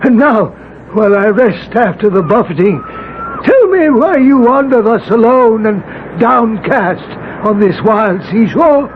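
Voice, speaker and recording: An actor playing a gleeful, sly dwarfish demon who commands and tempts in a theatrical, old-fashioned radio drama manner. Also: male voice, sits high, animated, unhurried. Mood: coaxing, prying. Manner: theatrical, commanding, cackling, archaic speech. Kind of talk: 1970s radio drama acting. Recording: muffled, noisy old radio broadcast recording.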